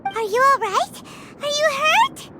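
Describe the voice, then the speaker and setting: A woman voicing a tiny, childlike, excitable fairy companion with a squeaky voice in a video game. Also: takes it slowly, very noisy, exaggerated voice. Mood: anxious. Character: tiny childlike floating fairy companion, cheerful, excitable, speaks of herself by name. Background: loud music.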